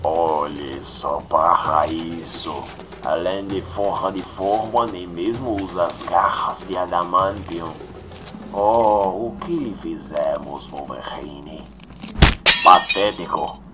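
Man playing slow, deep, sneering villain tyrant. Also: steady tone, noisy background. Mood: mocking. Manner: theatrical, mocking, slow menace. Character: sneering, gloating villain tyrant, a masked skull-faced supremacist ruler. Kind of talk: motion comic fan dub acting. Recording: music under the voice.

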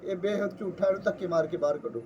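A man's voice: high voice, fast talker, darkish tone, far from the mic, highs cut off, natural voice. A commanding Punjabi king from a folktale, voiced by a man. He passes judgement curtly and with authority. Background noise is audible. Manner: curt, authoritative.

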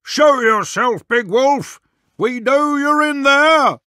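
A man voicing an angry, demanding woodcutter in a children's story, calling out loudly in a strained voice. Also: lively intonation, takes it slowly, no echo, clean studio sound, exaggerated voice. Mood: angry, bold. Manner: loud, demanding, high-strained. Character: angry woodcutter shouting at a wolf.

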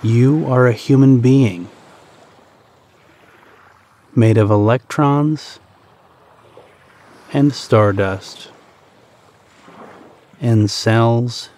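Man, meditation guide, medium pitch, dark relaxed tone, unhurried pace, soothing and deliberate phrasing. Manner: soothing, deliberate, with short pauses between phrases.

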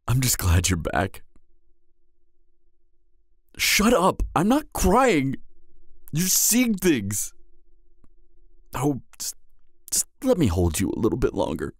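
An actor playing a devoted, lovestruck young knight in an audio drama, speaking in a halting, earnest and emotional way. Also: male voice, medium pitch, wildly swooping pitch, no room sound, clean studio sound, full character voice. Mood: tender, teasing. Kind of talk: intimate audio drama acting.

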